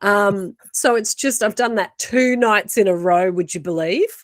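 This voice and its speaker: A woman co-hosts a relaxed podcast, teasing and playful, her voice sitting in a middling pitch. The recording is close and dry. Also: a little slow, no room sound. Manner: playful, teasing, laughs often. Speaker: podcast co-host. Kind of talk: casual chat.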